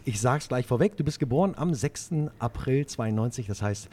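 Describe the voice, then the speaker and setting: A man's voice: mid-range voice, expressive, moderate pace, warm tone. A man hosting a club podcast interview, enthusiastic, often stumbling over and repeating words. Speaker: podcast host.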